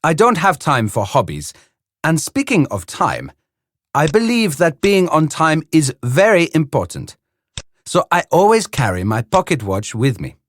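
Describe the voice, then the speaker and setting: A man's voice: fairly high pitch, wildly swooping pitch, a little slow, no echo, studio quality, exaggerated voice. A man reading the part of a fussy, precise older detective in a polite, formal way. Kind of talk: scripted reading for learners.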